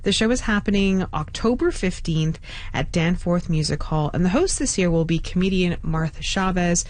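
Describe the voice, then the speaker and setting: A woman on a radio show, with a slightly low voice and a dry studio sound. She talks casually and upbeat, promoting an event to listeners. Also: moderate pace, a little mellow, dry recording. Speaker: radio host. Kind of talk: casual on-air chat. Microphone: studio microphone.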